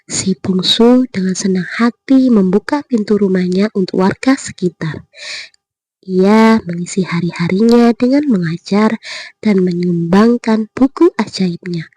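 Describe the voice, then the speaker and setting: A woman storyteller narrating a bedtime fairy tale with expressive, deliberate phrasing. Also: medium pitch, breathy edge.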